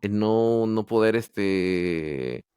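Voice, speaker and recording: Man, low smooth voice, dry close sound, candid chat with many restarts. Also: expressive, drawn-out words, a little mellow, no room sound. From Peru. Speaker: podcast guest, Android developer. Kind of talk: casual interview storytelling.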